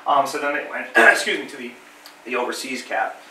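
A bright-toned middle-aged man, a little nasal from a cold, talks a small group through old gear in a friendly, halting way full of fillers. He is picked up by a camera across a small, echoey library room. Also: medium pitch, animated, a little hiss or hum. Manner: friendly, many fillers and repeated words. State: has a cold, slightly nasal. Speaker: living history presenter. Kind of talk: informal history talk to a small audience. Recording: roomy, distant camera sound.

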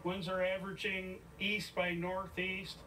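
A man's voice: sits high, monotone, measured pace, sharp tone. A man reading out live weather measurements as an amateur weather watcher. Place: outdoors in a store parking lot. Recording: distant TV speaker re-recorded, noisy.

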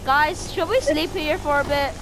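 An excitable player chatting and blurting out lines during a casual game roleplay, in a female voice. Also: sits high, moderate pace, noisy background. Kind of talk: casual gaming chat with improvised roleplay.

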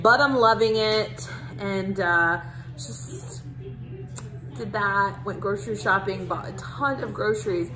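A woman's voice: mid-range voice, takes it slowly, pushed and bright, poor audio. A woman in her thirties talking casually to her phone camera while cooking in her home kitchen, upbeat and chatty. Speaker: family vlogger. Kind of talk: casual cook-with-me vlog. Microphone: handheld phone camera at arm's length.

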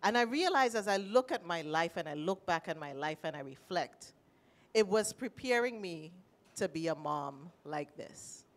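A middle-aged woman with a Trinidadian accent, sharing a personal story at a panel with animated, emotional delivery, speaking into a handheld mic. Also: lowish voice, moderate pace. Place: panel stage in a large indoor room.

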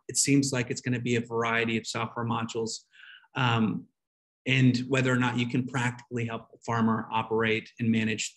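Male online presenter, mid-pitched, conversational explainer delivery for a slide webinar on a video call. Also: poor audio.

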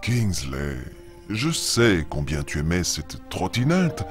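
Cartoon father lion: gentle, wise, low voice, slow delivery with expressive swoops. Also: full character voice. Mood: tender. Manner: slow, tender, measured. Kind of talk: children's show dialogue. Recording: music under the voice.

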